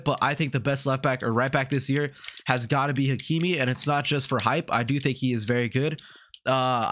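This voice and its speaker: A man's voice: mid-range voice, fairly bright tone. Congested man rambling about a game. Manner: rambling, repeats himself, many fillers. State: congested from a cold. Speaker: gaming YouTuber. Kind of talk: casual game commentary.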